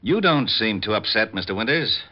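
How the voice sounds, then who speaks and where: An actor playing a gruff male homicide lieutenant in a 1950s radio drama, brisk and demanding. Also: lowish voice, very animated. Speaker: police lieutenant. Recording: dull old radio broadcast.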